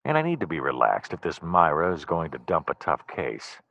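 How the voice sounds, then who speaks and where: Man playing deadpan, very low-voiced noir detective. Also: normal speed, creaky, no room sound, muffled recording, heavily acted. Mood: deadpan, wry. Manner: deadpan, long-winded. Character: wry, easily distracted male private detective narrating his case. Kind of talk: comic noir first-person narration.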